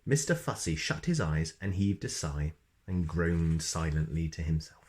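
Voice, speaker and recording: A man reading a children's story expressively, with playful emphasis and dramatic pauses. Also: extremely low pitch, sing-song, darkish tone. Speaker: storybook reader.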